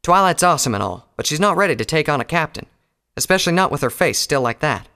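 A man's voice: on the high side, animated, quick pace, bright, forceful tone, pitched above the performer's own voice. A man voicing a feisty young country mare pony with a folksy, forthright manner in a fantasy audio story. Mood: worried.

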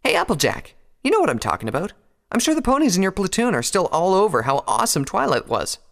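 A man's voice: sits high, wildly swooping pitch, fast talker, fairly bright tone, pitched above the performer's own voice, heavily acted. A man voicing a brash, boastful young female pegasus pony fighter in a heated manner for a story reading. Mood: eager. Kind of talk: dramatised fan fiction reading.

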